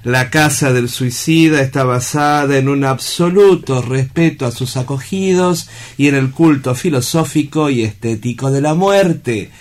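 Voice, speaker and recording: An actor playing a calm, philosophical sanatorium doctor in a theatrical radio drama, speaking in a measured, clinical and pompous way. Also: male voice, medium pitch, natural voice. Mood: calm, patient.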